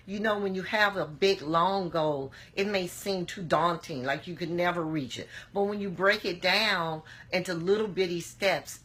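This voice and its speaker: A woman, hoarse from a cold, giving an earnest, encouraging motivational talk to camera with plenty of filler "um"s. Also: on the low side, a bit bright. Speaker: motivational vlogger.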